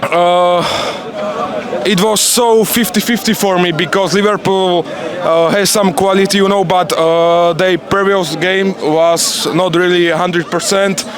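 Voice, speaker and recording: Slow, high-voiced man, halting and giggly. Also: fairly even intonation. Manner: halting, giggly, searching for words. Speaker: football fan being interviewed. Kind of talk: casual street interview. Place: outdoors outside a stadium. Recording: loud outdoor crowd noise.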